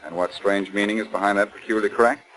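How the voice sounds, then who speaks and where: An American actor playing a cocky, unflappable sleuth with sardonic, flippant banter in 1940s radio style. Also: male voice, on the low side, fairly even intonation, quick pace, a bit bright, natural voice, poor audio. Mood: serious, dry. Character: wisecracking, unflappable man sleuth, cocky and teasing. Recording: vintage 1940s radio recording.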